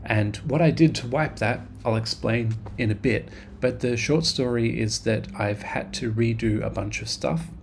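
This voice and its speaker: Gravelly man rambling through a devlog. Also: mid-range voice. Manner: rambling, self-deprecating, with many ums. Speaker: game developer vlogger. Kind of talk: casual devlog narration over screen recording.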